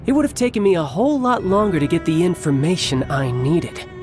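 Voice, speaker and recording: An actor playing a cocky young villain warrior in a video game, theatrical and playfully menacing, relishing battle with taunts. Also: male voice, a little high, sing-song, a little fast, music in the background. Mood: smug menace. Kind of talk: English video game voice acting.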